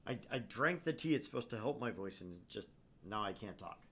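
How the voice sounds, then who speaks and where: A man's voice: on the low side, lively intonation, brisk, fairly bright tone. A man reading a visual novel aloud, with casual asides. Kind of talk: dramatic read-aloud of narration, with casual asides. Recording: clear background noise.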